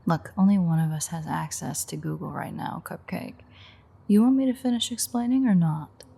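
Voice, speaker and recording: A woman playing a teasing, sardonic vampire in an intimate audio roleplay, with a wry, foul-mouthed manner and a breathy, husky voice. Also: on the low side, normal speed, warm tone. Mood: teasing, explaining.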